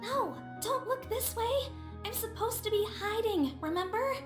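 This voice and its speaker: A woman's voice: very high-pitched, expressive, normal speed, echoey room, over music. A woman voicing a small, secretive, dutiful childlike character in a video game, earnest in manner. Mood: furtive, flustered. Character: small, secretive, dutiful creature hiding on orders.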